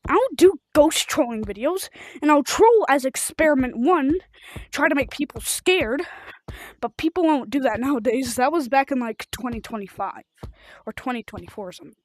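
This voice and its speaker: High, animated female game streamer. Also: normal speed, dry recording. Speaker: livestreamer of a video game. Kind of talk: rambling casual livestream chat while gaming.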